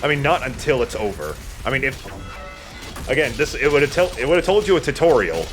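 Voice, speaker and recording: A man's voice: mid-range voice, very animated, normal speed, soft background music. A man giving casual let's play commentary in a playful, self-deprecating way, swearing casually. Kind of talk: casual gameplay commentary with banter.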